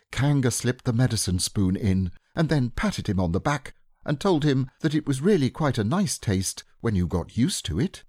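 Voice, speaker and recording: A man reads a children's storybook aloud in a gentle, expressive way. His pitch rises and falls in a very lively way, and his voice has a husky edge. The recording is dry and close. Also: no room sound. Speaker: narrator. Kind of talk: storybook reading.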